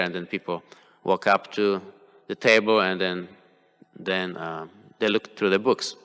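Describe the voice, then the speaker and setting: A man's voice: lowish voice, pushed and bright, clean tone. A man whose first language is Polish gives a devotional class, speaking hesitantly with many fillers and restarts. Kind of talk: devotional class talk, personal examples. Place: temple hall. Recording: long hall reverb.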